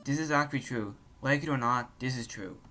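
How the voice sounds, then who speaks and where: A man's voice: medium pitch, a little fast. A man giving short, scripted nitpicking commentary as a video commentator. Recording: loud music over the voice. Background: loud music.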